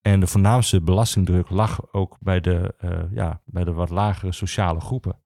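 A man the explains history as an interview guest, haltingly searching for his words. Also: deep voice, fairly even intonation, gravelly, warm tone, no echo, studio quality. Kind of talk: explanatory conversation for a history podcast.

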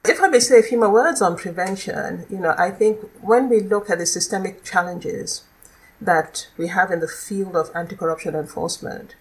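A middle-aged woman with a Nigerian accent, a law lecturer explaining her work in a measured, articulate way as a podcast guest. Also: mid-range voice, rough recording. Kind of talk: podcast interview.

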